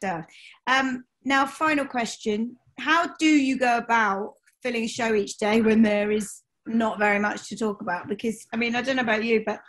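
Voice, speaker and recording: A bubbly British woman in her forties asks questions over a video call from home. Her voice sits a little high, and she laughs easily. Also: steady tone, moderate pace, fairly bright tone, rough recording. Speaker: interviewer. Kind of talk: casual interview. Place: home room.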